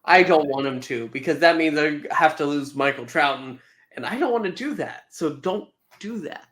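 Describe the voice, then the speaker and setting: Playful man with a slightly high voice. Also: animated, moderate pace, a bit bright. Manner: playful, emphatic, informal. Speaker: podcast co-host and reviewer. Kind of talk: casual fan review chat.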